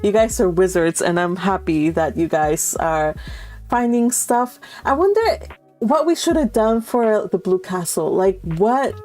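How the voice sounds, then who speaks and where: A woman's voice: medium pitch, animated, measured pace, a little mellow, soft background music. A woman hosting a live read-along, chatting casually and affectionately with her viewers, giggly and informal. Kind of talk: casual livestream chat with viewers.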